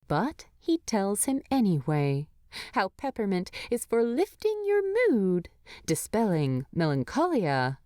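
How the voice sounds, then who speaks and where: A woman narrating a story from a script in an expressive storytelling style. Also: mid-range voice, a little slow, no room sound, clean studio sound. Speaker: fan fiction narrator.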